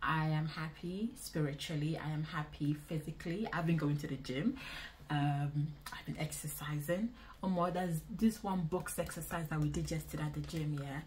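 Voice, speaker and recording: A woman in her twenties with a Nigerian accent, chatting casually to camera in an indoor vlog, expressive and repeating phrases for emphasis. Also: deep voice, moderate pace, a little hiss or hum, low-quality recording. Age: 20s. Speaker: vlogger. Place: small indoor room.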